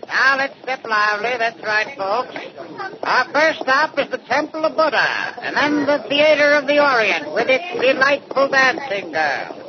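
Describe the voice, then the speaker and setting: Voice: high voice, animated, unhurried. An actor playing a tour guide herding sightseers with showy patter in an old-time radio drama. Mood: brisk, inviting. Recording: muffled, very noisy old radio recording.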